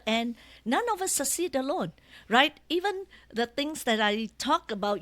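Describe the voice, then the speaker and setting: A Malaysian woman with a Malaysian accent, whose first language is Malay, telling stories as an interview guest in a lively, chatty way, often adding tag questions. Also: a little high. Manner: animated, often ends phrases with tag questions. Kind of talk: casual storytelling interview.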